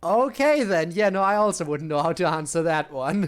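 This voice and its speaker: A woman's voice: fairly low pitch, expressive, brisk. A woman live-streaming a game, making casual, dry, teasing comments on the characters' dialogue.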